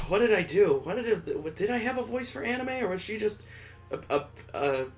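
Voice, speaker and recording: A man's voice: on the high side, a little hiss or hum. A man hosting a casual read-aloud of a visual novel, rambling off into wry asides as he goes. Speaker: gaming host.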